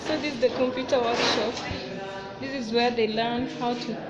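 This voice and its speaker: A young woman chats as she walks through her college, filming on a phone held in her hand. Her voice sits a bit high, and she keeps turning to her viewers with "guys" between her remarks. Loud student chatter fills the space behind her. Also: steady tone, moderate pace, highs cut off. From Kenya. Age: young adult. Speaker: student vlogger. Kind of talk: casual walking tour narration. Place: college corridors and classrooms, indoors. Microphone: handheld phone camera. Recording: phone recording with loud crowd noise. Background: noisy crowd of students.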